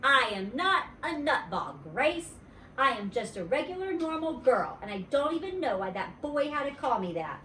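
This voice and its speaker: A woman's voice: sits high, big pitch swings, moderate pace, bright, forceful tone, a little hiss or hum, pitched above the performer's own voice, exaggerated voice. A woman voicing a fretful, blunt little schoolgirl in a storybook, with a childish, insistent delivery. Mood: indignant. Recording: roomy echo, distant mic.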